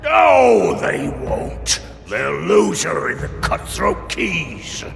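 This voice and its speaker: Gravelly, swaggering pirate captain. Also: male voice, high-pitched, wildly swooping pitch, drawn-out words, heavily acted. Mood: bold, rousing. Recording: long hall reverb under music.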